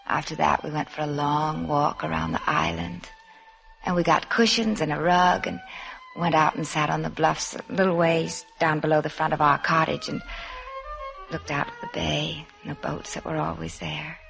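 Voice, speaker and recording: Shy young woman, low and creaky. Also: level tone, normal speed, soft background music, exaggerated voice. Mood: wistful. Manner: coy, hesitant, softly reminiscing. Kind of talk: 1950s radio drama acting. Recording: noisy 1950s radio recording.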